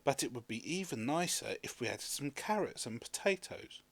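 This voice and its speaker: Storybook wanderer, voiced by a man: tired, hungry, courteous, coaxing, with animated intonation. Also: mid-range voice, moderate pace, pitched above the performer's own voice. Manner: polite, coaxing. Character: tired, hungry male traveller, polite and cunningly persuasive.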